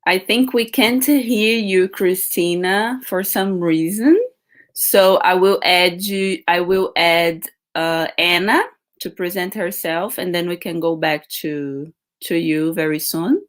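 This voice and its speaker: A woman hosting an online panel, introducing guests and asking questions haltingly, often restarting her sentences. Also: lowish voice, steady tone. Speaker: host, moderator. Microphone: video call.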